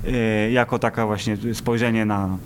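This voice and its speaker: A man's voice: fairly low pitch, little pitch movement, normal speed, slight background noise. A man co-hosting a podcast, discussing films in an informal, wry way. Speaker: podcast co-host. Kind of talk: casual film discussion.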